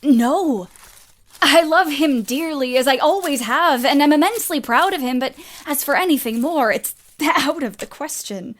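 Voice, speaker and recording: A young woman in a literary audio play. She is spirited and restless, with a high voice. She speaks bluntly, yet cares deeply. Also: expressive, normal speed. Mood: earnest, emphatic. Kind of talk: audio play acting of a period novel.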